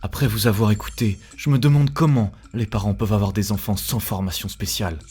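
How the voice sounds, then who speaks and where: A thoughtful male pupil from a cartoon, acted by a man, speaks in a serious, reflective way. His voice is lowish and noticeably husky. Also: fairly even intonation, brisk, a little mellow, soft background music. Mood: pensive. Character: thoughtful male listener questioning his mentor. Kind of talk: dubbed cartoon dialogue.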